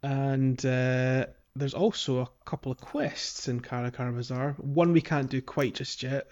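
A man's voice: mid-range voice, unhurried. Chatty man on a muffled podcast mic. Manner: chatty, relaxed, many ums and laughs. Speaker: podcast co-host. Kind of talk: casual gaming podcast chat.

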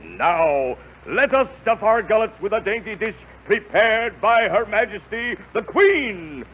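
A man's voice: sits high, expressive, a little slow, slightly rough, full character voice. An actor playing a jolly, pompous storybook king with a whooping, grandiose delivery in old-time radio comedy. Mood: giggly, jovial. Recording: dull, noisy old radio recording.

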